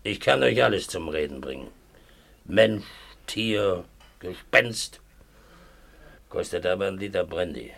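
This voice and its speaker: A gravelly, pompous old humbug. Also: male voice, low voice, expressive, a little slow, pauses often, fairly bright tone, exaggerated voice. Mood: sly, showman-like. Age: older. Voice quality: gravelly, husky. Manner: pompous, mock-courteous, grandiloquent. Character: shady, pompous old self-styled legal scholar and ghost expert. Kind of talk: 1950s German radio play acting.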